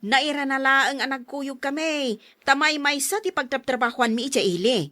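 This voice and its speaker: A woman's voice: sits high, moderate pace, bright, forceful tone, no echo, studio quality. A woman acting a furious young woman who scolds a gossip in a heated radio drama. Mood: defensive.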